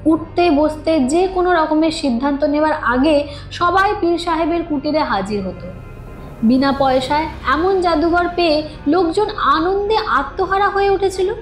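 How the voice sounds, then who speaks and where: High-pitched woman narrating suspense, echoing. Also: normal speed. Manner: dramatic, storytelling delivery. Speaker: narrator of a horror audio story. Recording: echoing studio voice with music underneath. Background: background music.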